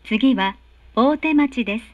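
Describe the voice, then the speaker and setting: High-pitched woman making polite train announcements. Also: a little slow, no echo, phone-quality sound. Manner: formal, polite, carefully enunciated. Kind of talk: recorded train station announcements. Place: recorded announcement played inside subway car.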